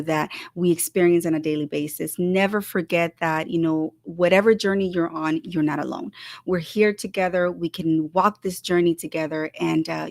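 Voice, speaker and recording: A woman from El Salvador hosting a casual livestream talk show, bubbly and effusive, repeating words for emphasis as she gives viewers shout-outs. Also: lowish voice, no echo.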